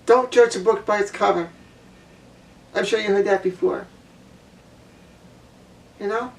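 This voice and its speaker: A woman with a low voice giving a blunt opinion monologue, repeating her points for emphasis. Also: unhurried, creaky, far from the mic, low-quality recording. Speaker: vlogger.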